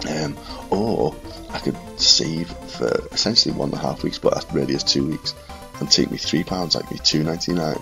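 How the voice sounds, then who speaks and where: A man in his thirties with a northwest English accent and a cold, reminiscing quietly and casually to camera in a room at home. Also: a bass voice, vocal fry. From Liverpool and Widnes, England. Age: 30s. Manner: quiet, subdued late-night talking. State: congested with a cold. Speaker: retro gaming video maker. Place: small furnished room at home, indoors.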